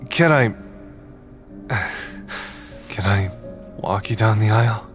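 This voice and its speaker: Slow, hesitant, earnest husband. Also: male voice, fairly low pitch. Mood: nervous, hopeful. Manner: tentative, hesitant. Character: gentle, earnest husband, nervous and pleading. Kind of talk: audio drama acting. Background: prominent music.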